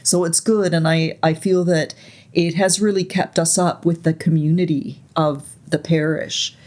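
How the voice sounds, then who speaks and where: A woman in her sixties, raised in Canada, recalling her life story slowly and reflectively as an interview guest, often pausing to search for words. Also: sits low, fairly even intonation. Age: 60s. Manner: soft, unhurried, searching for words.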